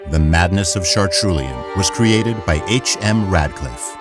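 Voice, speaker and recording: A man's voice: low-pitched, animated, unhurried. A man reading scripted credits as an announcer for an audio production. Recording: music behind the voice. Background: music.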